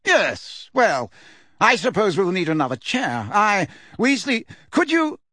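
A man's voice: sits high, sing-song, slow, sharp tone, no echo, clean studio sound, pitched above the performer's own voice, full character voice. A man reading aloud, voicing a pompous middle-aged male official who talks in a testy, fussy way. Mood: flustered.

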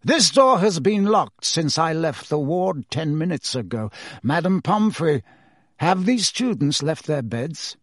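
A serene old headmaster, quiet and unhurried, placing each word with calm authority, as a male reader acts him out. The sound is dry and close to the mic. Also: a little high, no echo, pitched above the performer's own voice, full character voice.

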